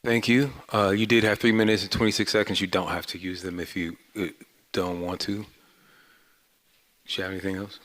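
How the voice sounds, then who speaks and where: A man's voice: deep voice, little pitch movement, a bit bright. A man chairing a city council public hearing, calling speakers and announcing time limits. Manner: brisk procedural. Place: council chamber.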